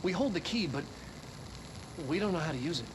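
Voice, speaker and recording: Man acting an earnest scientist, higher-pitched, noisy recording. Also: lively intonation, natural voice. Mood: earnest, explanatory. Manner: deliberate, searching, philosophical. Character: earnest, pondering scientist of the paranormal. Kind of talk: recorded scientific lecture to camera. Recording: clearly noisy background hum.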